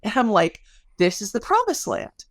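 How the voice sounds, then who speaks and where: Middle-aged American woman with creaky voice. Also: medium pitch, huge pitch swings, moderate pace, no room sound, studio quality. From Chicago area, United States. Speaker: interview guest, sociologist. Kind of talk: conversational explanatory storytelling.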